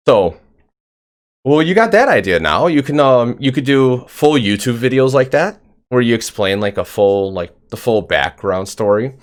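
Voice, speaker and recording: A man's voice: medium pitch, expressive, measured pace, long pauses. A man hosting a casual interview podcast, talking in a loose, rambling way with lots of fillers. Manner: rambling, filler-heavy, upbeat. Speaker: podcast host.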